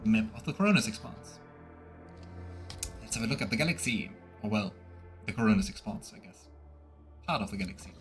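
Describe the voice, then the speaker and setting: A man's voice: on the low side, big pitch swings, a little fast, long pauses, soft background music. A man giving casual let's play commentary as he streams a game, talking to himself and his viewers.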